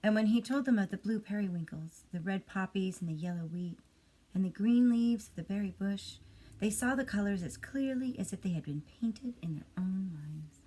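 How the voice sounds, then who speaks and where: Female storyteller for a children's bedtime tale, expressive, medium pitch, slightly breathy and husky. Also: moderate pace, rough recording.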